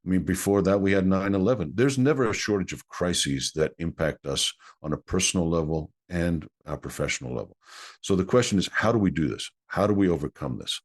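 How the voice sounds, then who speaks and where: A man's voice: sits low, brisk. A man whose first language is Greek, an executive coach answering interview questions in an earnest, explanatory way.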